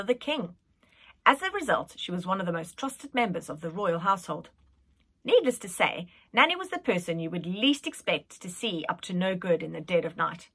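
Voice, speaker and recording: A woman narrates a children's storybook aloud. Her delivery is dramatic and highly varied in pitch, in a bright, forceful voice with a bit of rasp. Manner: animated, dramatic. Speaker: schoolteacher reading a storybook aloud.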